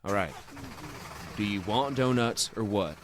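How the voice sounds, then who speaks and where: A man acting in a radio play as a gruff but kind injured bull rider, talking in a halting, folksy way. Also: fairly low pitch, animated, a little hiss or hum. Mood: gentle, awkward.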